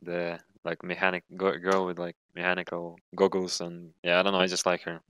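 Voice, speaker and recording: A man's voice: sits low, monotone, a little fast, a bit bright, clear, resonant tone, no room sound. A young man from Latvia, a pro gamer chatting casually in an interview with rambling, hesitant phrasing. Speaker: professional esports player.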